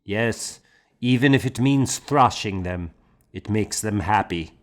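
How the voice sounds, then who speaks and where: A hearty monk schoolmaster performed by a male audiobook reader, sure of himself and a little mocking. He speaks bluntly and with emphasis, at an unhurried pace. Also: lowish voice. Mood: insistent, assured. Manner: blunt, emphatic, self-assured. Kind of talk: audiobook character dialogue.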